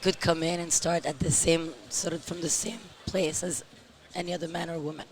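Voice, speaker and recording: Hoarse middle-aged woman, guest in an interview, slow and measured. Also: on the low side, fairly even intonation. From Europe. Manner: speaks slowly and measured. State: hoarse, tired voice. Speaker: interview guest, company founder. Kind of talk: casual interview.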